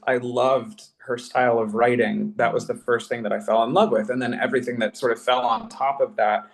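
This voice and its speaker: A man with a mid-pitched voice talks in a casual, reflective panel style. He is effusive and self-deprecating, pausing and repeating phrases as he works through his thoughts. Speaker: panel guest, writer.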